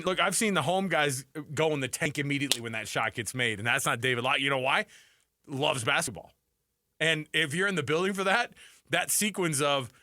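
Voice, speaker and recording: A man hosting sports radio in casual, animated talk, emphatic and repeating himself for effect on a close studio mic. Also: a little high, no echo. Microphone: close studio microphone.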